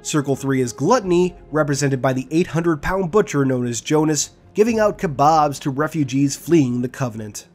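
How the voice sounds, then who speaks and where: A man's voice: fairly high pitch, lively intonation, moderate pace, few pauses, a little mellow. Man narrating a scripted guide over music. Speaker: video creator, host.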